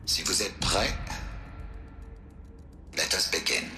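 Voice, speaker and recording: A man's voice: low voice, steady tone, slow pace. A man playing a stern, dignified scientist, giving a precise, formal and pompous briefing in a video game. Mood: solemn, firm. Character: stern, dignified francophone scientist in charge of a program. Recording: roomy echo with music behind.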